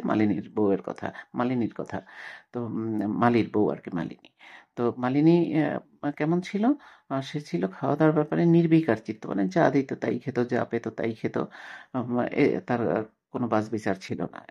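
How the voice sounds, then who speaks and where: Husky man teaching, halting delivery. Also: medium pitch, a little mellow. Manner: halting, repeats phrases as he explains. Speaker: Bangla literature teacher. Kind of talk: lesson: reads story aloud, then explains. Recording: dull lo-fi recording.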